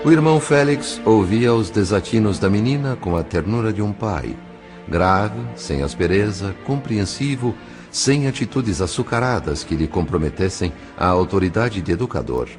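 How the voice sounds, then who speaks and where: A man reading scripted narration for an audio novel in a formal, literary, measured manner. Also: fairly low pitch, animated. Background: faint music.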